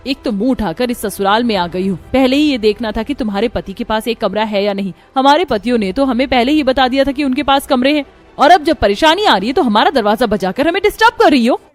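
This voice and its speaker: A woman as a shrill, haughty sister-in-law. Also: quick pace, soft background music, lightly acted. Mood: irritated, scolding. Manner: sharp, indignant, sarcastic. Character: haughty, scolding sister-in-law, a selfish young wife. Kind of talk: dramatized Hindi moral story cartoon.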